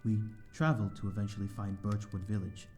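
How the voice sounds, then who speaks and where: A man's voice: fairly low pitch, flat delivery, brisk, darkish tone, faint music behind, lightly acted. A man playing a lonely, courteous vampire in an audio roleplay, speaking in formal, old-fashioned, hesitant phrases to the listener. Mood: genteel, reminiscing. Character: lonely, courteous male vampire living in an abandoned manor.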